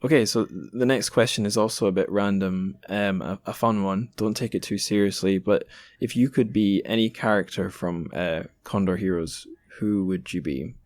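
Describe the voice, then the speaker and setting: A man's voice: lowish voice, fairly even intonation, normal speed, hardly any pauses, a little mellow. A man hosting a podcast interview in a casual, informal way, thinking aloud with some hesitation. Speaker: podcast host.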